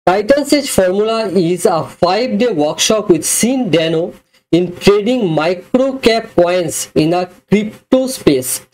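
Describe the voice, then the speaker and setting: Even scripted product-review narrator. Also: mid-range voice, takes it slowly, poor audio. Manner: even, read-from-script delivery.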